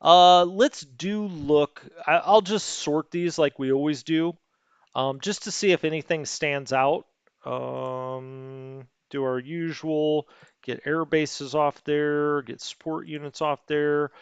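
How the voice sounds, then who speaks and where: Guy rambling through a wargame out loud, kinda high smooth voice, dry slightly dull close mic. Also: steady tone, slow pace, no echo. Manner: rambling, self-questioning, hesitant, many fillers. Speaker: let's play host. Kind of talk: thinking aloud while playing a strategy game.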